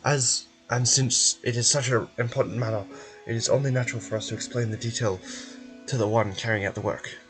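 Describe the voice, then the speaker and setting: A man's voice: medium pitch, steady tone, fairly quick, music in the background, close to an everyday voice, poor audio. A man voicing a formal, wordy and polite research institute official in a video game. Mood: officious, earnest.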